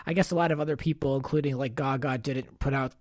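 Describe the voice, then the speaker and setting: A man's voice: mid-range voice, fairly even intonation, quick pace, darkish tone, dry recording. Casting: male podcast host, informal and upbeat, chatty delivery, mid-range voice in a dry, close recording. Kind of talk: casual chat.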